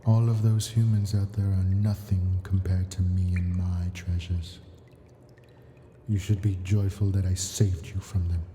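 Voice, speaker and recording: A man's voice: low-pitched, little pitch movement, unhurried, warm tone, faint music behind. A man voicing an ancient, possessive dragon captor in an ASMR fantasy roleplay, coaxing and imperious in formal storybook words. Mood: possessive, soothing.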